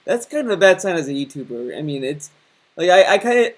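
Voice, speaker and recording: A man's voice: sits high, very animated, fast talker. A young man with a slightly raised voice rambles through a casual commentary rant. He keeps restarting his sentences and takes a mocking tone toward what he's reacting to. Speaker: commentary channel host.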